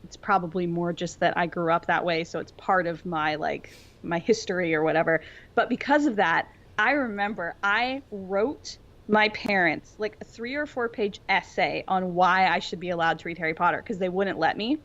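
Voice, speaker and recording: A woman's voice: fairly low pitch, moderate pace, fairly bright tone, muffled recording. A young adult woman rambling casually through her opinions as a podcast co-host in a fandom discussion.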